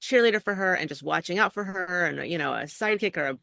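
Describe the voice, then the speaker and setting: A middle-aged woman with a bright, forceful voice talks loosely about her craft as an interview guest. She rambles and fills her sentences with "like" and "you know". The sound is dry and close, with no room around it. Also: lowish voice, fast talker, creaky, dry recording. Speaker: actor and acting coach, guest. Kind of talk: casual interview talk.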